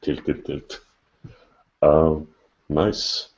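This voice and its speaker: Male podcast host, extremely low voice, unhurried, casual delivery. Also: flat delivery, a little mellow. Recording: dull lo-fi podcast recording.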